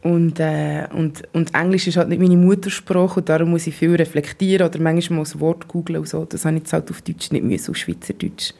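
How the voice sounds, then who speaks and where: A Swiss German woman in her thirties, a singer, gives thoughtful answers with pauses and fillers. Her voice sits a little low and sounds warm and mellow. She is interviewed in a basement rehearsal room. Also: creaky. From Switzerland. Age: 30s.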